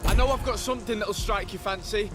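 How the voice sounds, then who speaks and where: Higher-voiced, prickly street trader played by a man. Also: fast talker, close to an everyday voice. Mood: grateful, salesmanlike. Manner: blunt, prickly, colloquial. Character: wary, defiant working-class street trader. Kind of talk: video game character acting. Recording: music behind dialogue.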